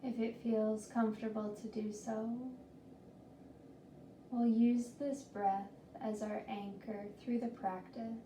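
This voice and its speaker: A woman with a fairly high, even-toned voice leads a guided meditation. She is gentle and soothing, leaving long pauses between slow phrases. The recording is roomy and distant, with faint noise. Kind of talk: guided body scan meditation.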